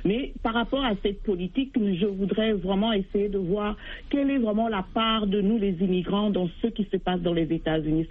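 Earnest woman caller, heard over a phone line. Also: medium pitch. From Congo. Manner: earnest, repeats words while searching for phrasing. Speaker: caller, voter. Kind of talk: radio call-in commentary.

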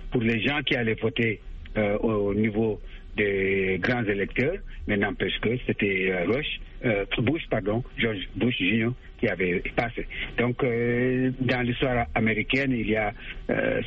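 A man's voice: on the low side, husky. Man guest explaining with many hesitations, muffled lo-fi line. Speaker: political analyst guest. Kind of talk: explanatory radio commentary.

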